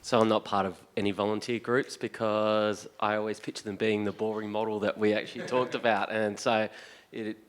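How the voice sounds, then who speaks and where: A man's voice: lowish voice, flat delivery, fairly quick, few pauses. A man at a workshop gives his feedback in a tentative, casual way. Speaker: workshop participant.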